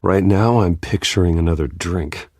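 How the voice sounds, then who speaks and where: An actor playing a guarded, brooding wealthy heir in a video game, terse and dry, with a gravelly, husky voice. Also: male voice, low voice, moderate pace, darkish tone, dry recording. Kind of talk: video game dramatic acting.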